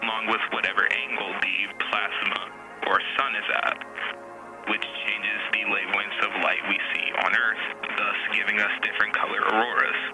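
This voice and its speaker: A man playing the narrator of an educational science programme, explaining in a measured, scripted way. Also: lowish voice, flat delivery, close to an everyday voice, rough recording. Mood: explanatory. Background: music.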